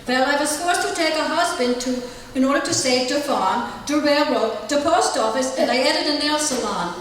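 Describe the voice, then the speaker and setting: A woman playing a judge's brisk, unapologetic remarried wife in an old-time radio comedy, with theatrical delivery. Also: high voice, natural voice. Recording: echoey hall from far off, music behind.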